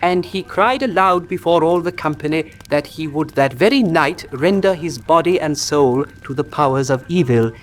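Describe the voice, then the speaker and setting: Earnest, articulate doctor , male actor, radio drama storyteller, higher voice, dramatic and grave, faint noise. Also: normal speed, few pauses, close to an everyday voice. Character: earnest male country doctor recounting a grim legend.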